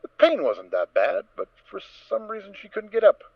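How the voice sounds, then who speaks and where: A man narrating a scripted story in a theatrical, expressive storytelling manner. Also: high-pitched, moderate pace, vocal fry, no echo, lo-fi sound.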